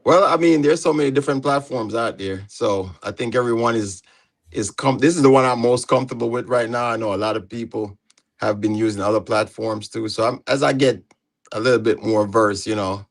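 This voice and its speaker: A man's voice: medium pitch, fairly quick. Easygoing man hosting a livestream. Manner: easygoing, laughs often, asks questions. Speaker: livestream host. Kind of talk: casual livestream chat.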